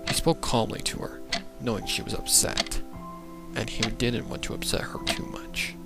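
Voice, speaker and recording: A man's voice: lowish voice, moderate pace, gravelly. A man reading a scripted dramatic story as its narrator. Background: loud background music.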